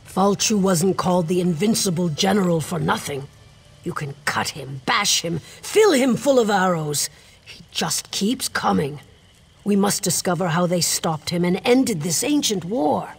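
An actor playing an earnest guild mage who gives measured briefings in a fantasy video game. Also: mid-range voice, expressive, gravelly, husky, heavily acted. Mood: grim, determined. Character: earnest Mages Guild scholar briefing an adventurer.

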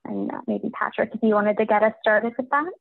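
A woman's voice: a little high, brisk, gravelly, no echo, phone-quality sound. A woman moderating a panel, putting questions conversationally over a thin-sounding video call. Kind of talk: reading out audience questions conversationally. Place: indoor room on a video call.

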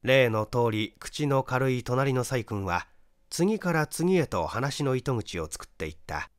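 A man reading a mystery story as scripted narration, in a measured, expressive storytelling manner. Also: mid-range voice, no echo, studio quality. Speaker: audiobook narrator.

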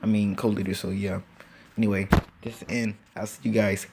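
Teen male gaming host, low and gravelly, laid-back and rambling, phone-recorded with faint background noise. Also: flat delivery, fairly quick. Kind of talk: casual gameplay commentary. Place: home with train passing outside. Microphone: phone screen recorder.

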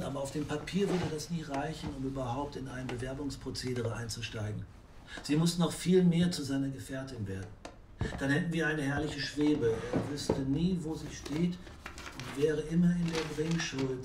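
An actor as a businesslike, analytical writer. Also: male voice, medium pitch, moderate pace, natural voice. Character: keen, analytical writer developing a book story. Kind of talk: naturalistic German audio drama acting. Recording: clear background noise.

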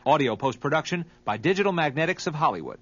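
Lively, higher-pitched man narrating a documentary. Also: fast talker, slightly rough. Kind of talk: scripted narration. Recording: dull, muffled audio.

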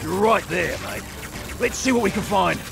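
Casting: a cheeky villain, high, gravelly, drawling, under loud game music. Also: male voice, sing-song, moderate pace, noisy background, heavily acted. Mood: mocking. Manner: mocking, teasing. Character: cheeky male villain who calls others mate.

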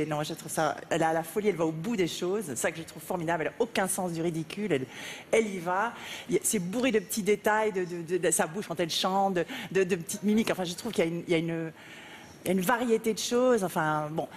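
Juror, woman, fifties, Belgian accent, fast, lowish voice, gushing and stumbling, hall reverb. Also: slight background noise. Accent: Belgian French. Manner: gushing, stumbling over words. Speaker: jury member. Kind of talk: giving feedback on a TV show. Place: large TV studio with audience. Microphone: headset mic.

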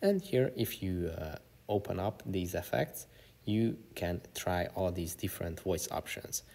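A man narrating an instructional software tutorial as its presenter. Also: sits low, measured pace, gravelly. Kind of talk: instructional screen-recording narration.

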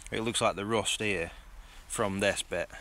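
A man's voice: lowish voice, fairly even intonation, unhurried, bright, forceful tone, slight background noise. A man, chatting casually and thinking aloud as he explores outdoors, recorded on a phone held at arm's length. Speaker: vlogger. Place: outdoors in a forest.

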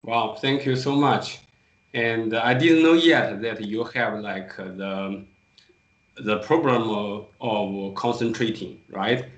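A man's voice: lowish voice, slow, dull audio. A man in his thirties, a kung fu teacher with a Chinese accent, speaking English hesitantly on a video call. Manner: hesitant, searching for words. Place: small room indoors. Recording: echoey room on a video call.

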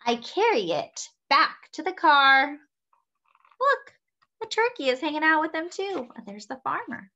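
A young woman reading a picture book aloud to children with animated, expressive delivery. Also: sits high, unhurried. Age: young adult. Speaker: children's story-time reader. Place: small room indoors at home. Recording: slightly dull webcam audio.

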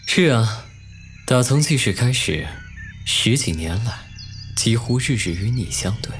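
A cold young nobleman, played by a man, schemes and gloats in a costume radio drama. He speaks slowly and mockingly, with wide swings of pitch, and is possessive and smug. Music plays clearly behind him. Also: expressive, fairly bright tone. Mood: smug, teasing. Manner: slow, mocking, deliberate. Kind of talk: Chinese costume audio drama acting.

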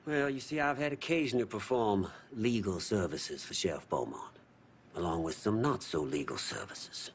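An actor playing a wordy, theatrical crooked lawyer with florid, precise speech in a video game. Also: male voice, fairly low pitch, lively intonation, normal speed, slight background noise. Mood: smooth, aggrieved. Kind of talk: video game cutscene acting.

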